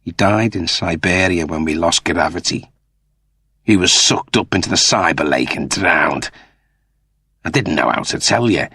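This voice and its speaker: Man playing a guarded, husky space crewman. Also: sits low, unhurried, pushed and bright, no room sound, studio quality, heavily acted. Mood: defensive, pleading. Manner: serious, terse. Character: unsmiling, guarded, menacing male space crewman.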